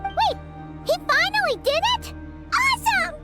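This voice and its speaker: A woman voicing a small, childlike, excitable floating fairy companion in a video game, with a squeaky, exclamatory delivery. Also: measured pace, soft background music, exaggerated voice. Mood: excited. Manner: exclamatory, blunt.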